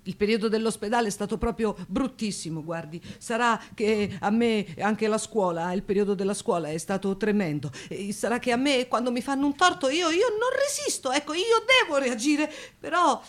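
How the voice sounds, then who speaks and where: A woman's voice: fairly high pitch, lively intonation, normal speed, hardly any pauses, lightly acted. An actress playing a touchy, insistent, naive young woman in a radio drama, speaking emphatically and repeating herself. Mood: aggrieved. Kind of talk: theatrical radio drama dialogue as interview.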